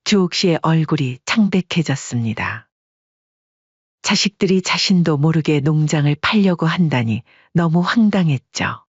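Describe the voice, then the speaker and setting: A man narrating a story audiobook in a steady storytelling manner, on a dull, dry recording. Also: fairly high pitch, moderate pace, husky, no echo.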